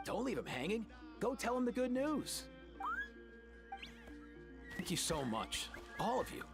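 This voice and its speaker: A man's voice: high-pitched, sing-song, a little slow, gravelly, heavily acted. A man playing a laid-back, friendly healer in a fantasy video game, with an earnest, easygoing delivery. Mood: grateful. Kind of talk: video game character acting. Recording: game music behind the voice.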